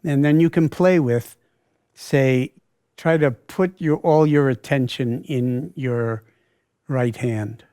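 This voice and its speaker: A man leading a guided meditation in a calm, unhurried way, often pausing mid-sentence. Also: medium pitch, dry recording, studio quality. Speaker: meditation teacher.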